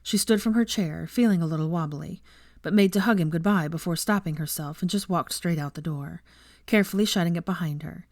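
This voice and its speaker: A woman's voice: fairly low pitch, fairly quick, dry recording, studio quality. A woman reading fiction aloud as a scripted story narrator.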